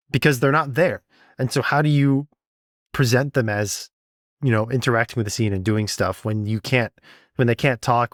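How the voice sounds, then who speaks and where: Guy podcast cohost, mid pitched voice, dry studio sound, casual, repeats words while thinking. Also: a bit bright, dry recording. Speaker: podcast co-host and sound designer. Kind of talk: casual behind-the-scenes podcast chat.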